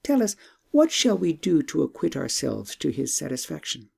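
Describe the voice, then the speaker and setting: A man acts out a young fairy-tale princess, earnest and practical. The pitch is lifted and moves freely, and the formal words are delivered with lively expression. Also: moderate pace, vocal fry, breathy edge, exaggerated voice. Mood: asking. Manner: expressive, formal storybook diction. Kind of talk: audiobook storytelling.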